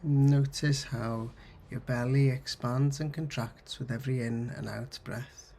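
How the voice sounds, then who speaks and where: Male meditation guide: unhurried, gravelly and husky, soothing, gently instructive, medium pitch. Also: fairly even intonation, slight background noise. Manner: soothing, gently instructive, pausing between short phrases. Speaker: counsellor guiding mindfulness. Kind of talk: guided meditation, body scan.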